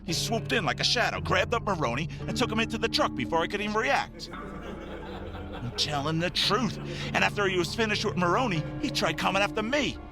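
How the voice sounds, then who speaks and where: A fairly high, lively-pitched man's voice from an actor plays a shady tough-guy detective in an audio drama. He is defensive, rattled and blustering, with music playing clearly behind him. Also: fairly quick. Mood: rattled, insistent.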